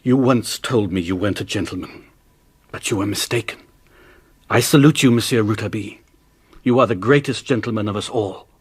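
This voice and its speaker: An actor playing an honourable, wrongly accused gentleman in a period radio drama, speaking formally and with weary restraint. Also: on the low side, brisk, close to an everyday voice. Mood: solemn gratitude.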